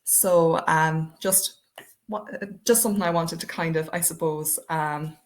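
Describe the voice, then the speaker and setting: A woman's voice: low voice, fairly even intonation, a little slow, slight background noise. A young Irish woman with an Irish accent presenting a webinar over a video call, chatty and full of fillers like "um" and "I suppose". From Ireland.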